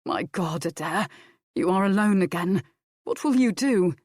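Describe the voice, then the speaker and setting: Woman reading as a young nobleman, hushed, grave, steady, lowish voice, dry audiobook sound. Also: normal speed, dry recording, lightly acted. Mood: sober. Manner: low, hushed swearing. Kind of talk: audiobook character voice.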